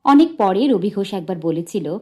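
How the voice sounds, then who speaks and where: A woman reading a memoir as an audiobook narrator, in a measured, literary storytelling delivery. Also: medium pitch, animated, darkish tone, slightly rough.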